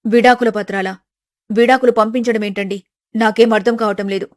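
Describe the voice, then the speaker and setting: A woman's voice: a little high, steady tone, brisk, no room sound, clean studio sound, natural voice. A woman voicing a worried, flustered middle-aged mother in a family story. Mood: anxious, polite.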